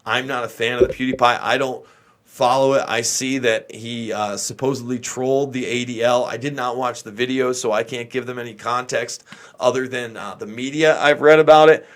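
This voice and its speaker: A man's voice: medium pitch, a bit bright. An American man doing off-the-cuff livestream political commentary, talking to viewers in an opinionated, exasperated, emphatic manner.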